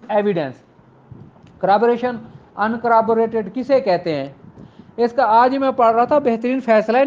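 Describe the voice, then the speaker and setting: A man with a Pakistani accent giving an informal explanatory law lecture, didactic and emphatic, repeating key phrases. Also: extremely high pitch. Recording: dull lo-fi audio.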